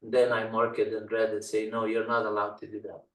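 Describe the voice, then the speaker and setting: A middle-aged man from Afghanistan, a native Dari speaker, walks through his screen as an instructor, pausing often on fillers. He sits back from the mic, so his voice sounds distant. The room adds a noticeable echo. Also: fairly low pitch, completely flat delivery, fairly bright tone. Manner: halting, many fillers. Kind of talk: screen-share walkthrough tutorial.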